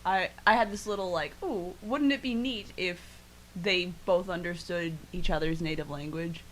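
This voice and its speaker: A woman with a slightly low voice chats casually as a podcast co-host. Her delivery is conversational and unscripted, with faint noise behind it. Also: normal speed, low-quality recording. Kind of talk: casual chat reviewing an anime.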